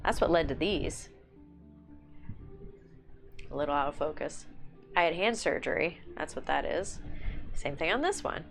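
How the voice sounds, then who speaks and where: Woman, 30s, livestream host; low-pitched, relaxed self-deprecating chatter, light music underneath. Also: unhurried, long pauses. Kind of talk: casual chat while painting miniatures. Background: faint music.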